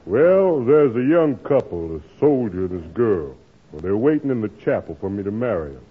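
A man's voice: on the low side, very animated, moderate pace, slight background noise. A man playing a genial, coaxing reverend in a 1940s radio comedy. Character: genial, persuasive adult male reverend. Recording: muffled old radio broadcast.

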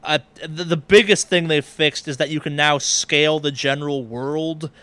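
A man's voice: on the high side, steady tone, moderate pace, sharp tone, dry recording. A man hosting a gaming podcast in casual chat, with a wry, casually profane way of talking. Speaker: podcast host.

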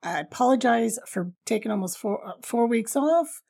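A middle-aged woman with a raspy voice hosting a travel podcast in an informal, upbeat, jokey, chatty way. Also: fairly high pitch, lively intonation, moderate pace, darkish tone. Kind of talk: chatty solo podcast storytelling.

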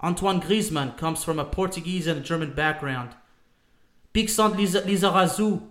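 French-born guy on a sports podcast, high voice, keeps hammering his point, echoey room. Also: normal speed, a bit bright. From France. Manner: emphatic, repeats himself, addresses listeners as folks. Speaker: podcast co-host. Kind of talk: opinionated sports talk.

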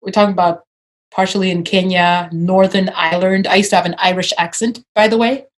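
A woman's voice: lowish voice, fairly even intonation, fairly quick. Reflective woman from Uganda. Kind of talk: casual chat.

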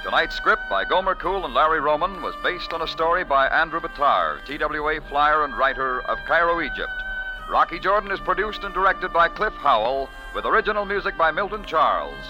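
A male radio announcer in classic 1940s style. His voice is a little high and swoops with lively intonation over music on an old broadcast recording. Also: fairly quick, muffled recording.

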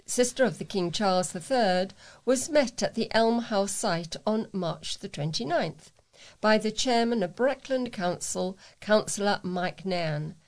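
A woman reads local news aloud as a volunteer reader. Her pitch sits in the middle range for a woman, and she delivers written items carefully. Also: a little mellow. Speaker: volunteer newsreader.

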